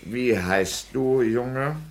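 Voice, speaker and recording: An actor playing a stern, condescending parish official, speaking formally in 1950s German radio drama style. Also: male voice, mid-range voice, very slow.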